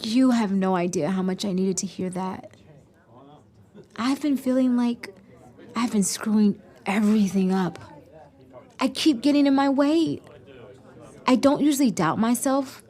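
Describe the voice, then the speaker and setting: An earnest teenage girl in an audio drama. Her slightly high voice speaks naturally, with sincerity and self-doubt. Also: a little slow, pauses often, mellow.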